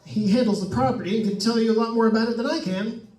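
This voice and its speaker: A man's voice: high voice, fairly even intonation, fast, darkish tone, close to an everyday voice. A man playing a jokey, chatty radio host on a ghost hunt in old-time radio drama style, with folksy asides to listeners. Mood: curious, chatty. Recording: echoey room, distant microphone.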